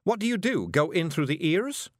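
A man's voice: on the high side, big pitch swings, dry recording, studio quality, pitched above the performer's own voice. A man doing a prim, genteel woman. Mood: aghast. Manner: slow, careful, genteel. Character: prim, polite older woman witch.

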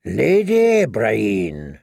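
A man voicing a cocky, cruel highborn knight with a mocking, sneering drawl for an audiobook. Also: huge pitch swings, very slow, creaky, a little mellow, no echo, studio quality, heavily acted.

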